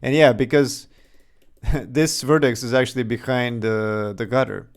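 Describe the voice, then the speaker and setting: A man's voice: mid-range voice, steady tone, unhurried. A young man from Serbia with a slight Serbian accent, casually thinking aloud and chuckling as he talks through his game development on a livestream.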